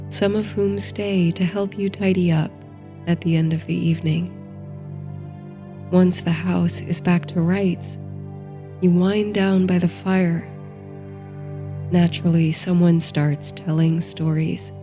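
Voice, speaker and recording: Slow, soft-toned woman reading bedtime stories. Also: on the low side. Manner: soothing, unhurried, gentle. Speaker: host and narrator of a sleep story podcast. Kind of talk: bedtime story narration, guided meditation.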